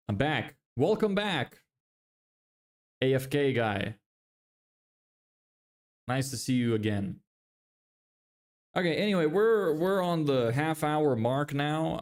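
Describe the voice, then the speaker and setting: A man's voice: mid-range voice, a little mellow. A young man from Lithuania with an American-sounding accent, chatting casually on a livestream, reading chat and swearing now and then, into a studio mic in a small bedroom. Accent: American-sounding English. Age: young adult. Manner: chatty, self-deprecating, reads chat aloud and swears casually. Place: small bedroom with a window, indoors. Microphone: large studio mic on a stand in front of him.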